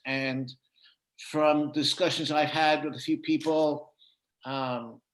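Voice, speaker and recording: An American man hosting a casual interview, speaking hesitantly with many filler pauses. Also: on the high side, a bit bright. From United States. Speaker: host. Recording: roomy echo.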